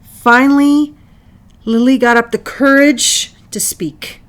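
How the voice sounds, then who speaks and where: A woman reading a children's picture book aloud as narrator, with deliberate, dramatic phrasing and long pauses. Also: sits high, steady tone, a bit bright. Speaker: storybook reader.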